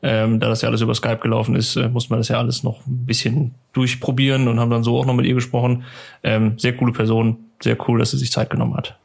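Male podcast co-host, medium pitch with plenty of creak, informal and easygoing. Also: little pitch movement, fast talker, a little mellow. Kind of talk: casual podcast chat.

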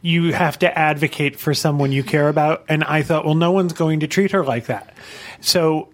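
A man with a somewhat high voice co-hosts a relaxed podcast chat. He speaks earnestly and wanders off his point now and then. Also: normal speed. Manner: earnest, wandering, loses his train of thought. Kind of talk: casual chat.

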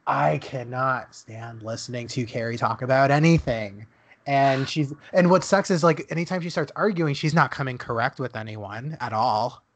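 Young male co-host, middle pitch, easygoing chatter full of fillers and laughs. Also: normal speed. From Dallas, Texas. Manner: chatty storyteller, laughs often, many "like" fillers. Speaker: podcast co-host. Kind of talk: casual chat.

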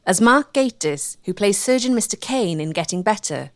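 A woman reading scripted documentary narration as a measured storyteller with a wry edge. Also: medium pitch, lively intonation, no echo, studio quality.

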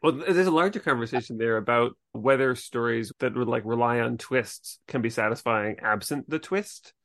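A man's medium-pitched voice in a dry, echo-free recording. He speaks as a podcast co-host, explaining and analysing in a casual conversational way. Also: moderate pace, fairly bright tone. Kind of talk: casual literary discussion.

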